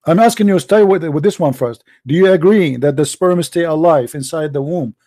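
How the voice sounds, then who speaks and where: A man's voice: on the high side, normal speed, mellow. A man whose first language is Arabic, arguing hard in a heated religious debate, cutting in and repeating himself. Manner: combative, interrupting, repeating himself.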